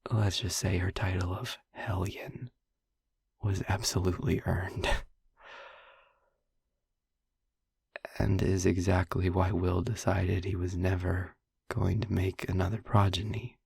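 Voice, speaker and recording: Intimate audio RP: male actor as gentle, wry young vampire boyfriend, husky near-whisper, slow halting delivery, close dry sound. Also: low voice, little pitch movement, no room sound, exaggerated voice. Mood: wry, amused. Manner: halting, pausing, wry asides. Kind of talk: intimate audio roleplay, softly confiding to the listener.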